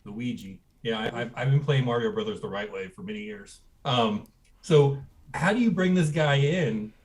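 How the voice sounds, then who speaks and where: A man's voice: mid-range voice, moderate pace, low-quality recording. Blunt, ranting man co-host. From Texas. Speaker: podcast co-host. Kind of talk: casual banter.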